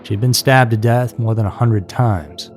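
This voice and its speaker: A man's voice: on the low side, moderate pace. A man reading scripted true-crime narration as a narrator. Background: music.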